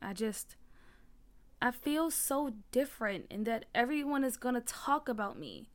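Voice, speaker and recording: A woman's voice: a little high, animated, unhurried, bright, forceful tone, exaggerated voice. A woman voicing an upset, whiny young schoolboy in a storybook, with a pleading, emphatic, slangy way of talking. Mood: subdued, ashamed.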